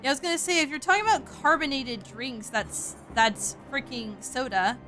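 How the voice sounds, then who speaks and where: A woman's voice: high voice, moderate pace. A woman from the American South with a light Southern accent, chatting casually and cursing freely while streaming a game. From Southern United States. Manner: chatty, profane, animated. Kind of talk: casual gaming stream chat.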